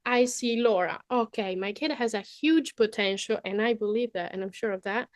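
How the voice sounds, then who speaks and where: A woman's voice: a little high, moderate pace, dry recording. Italian-accented woman, young, presenting live online, dry close sound, lots of "okay?". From Italy. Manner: chatty, many fillers and "okay?" tags. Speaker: webinar presenter.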